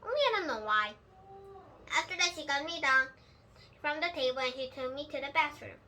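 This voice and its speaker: A woman's voice: sits high, expressive, brisk, pushed and bright. A female reader reading a children's book aloud, sometimes stumbling and rereading words. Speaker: storybook reader.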